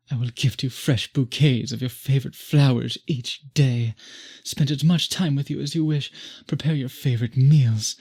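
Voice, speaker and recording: A man voicing a courtly, possessive vampire in an intimate roleplay audio, with formal, florid, beseeching speech and a breathy voice. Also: mid-range voice, darkish tone. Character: courtly, obsessive, possessive male vampire pleading with the listener.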